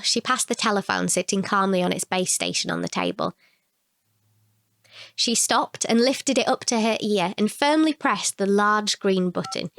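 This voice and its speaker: Woman, medium pitch, expressive story narration, scripted, dry sound with no room. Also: creaky, no room sound. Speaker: narrator, short story performer.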